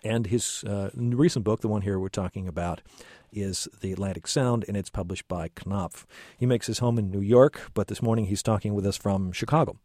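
A man hosts a radio call-in show from a studio, with a dark, mellow voice and a dry, close sound. He asks his questions hesitantly and fills them with ums and restarts. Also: lowish voice, normal speed, dry recording. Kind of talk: radio interview.

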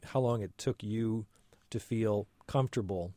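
A man interviewing someone in unscripted talk, phrasing his questions in a tentative, halting way and often rephrasing as he goes. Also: lowish voice, dark tone, no echo.

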